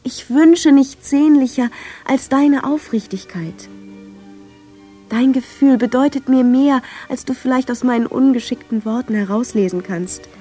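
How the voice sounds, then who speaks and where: A woman playing a tender, lovestruck young violinist of the 1910s, reading her love letters in a measured, yearning radio drama style. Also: high-pitched, warm tone. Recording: clear violin music under the voice. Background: violin music.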